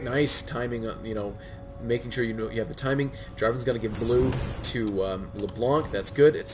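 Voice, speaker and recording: Male game caster, stuffy cold voice, music clearly underneath. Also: medium pitch. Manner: excitable, many fillers and restarts. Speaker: game commentator. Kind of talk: solo play-by-play commentary.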